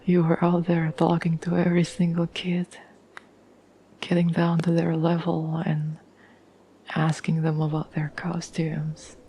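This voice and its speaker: A woman acts out a caring, gentle girlfriend in an intimate ASMR audio roleplay. Her voice is a breathy, whispery murmur, slightly low and very even in pitch. She takes her time, pausing thoughtfully, over faint background noise. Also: low-quality recording. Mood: fond, gently amused. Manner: gentle, unhurried, halting with thoughtful pauses. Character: caring, tender girlfriend reassuring her troubled partner.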